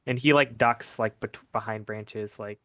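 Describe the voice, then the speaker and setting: A man's voice: fairly low pitch, fairly even intonation, measured pace, pushed and bright, no echo. A man playing a tabletop game, chatting casually in and out of character, hesitant and repeating words. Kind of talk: casual roleplay chat.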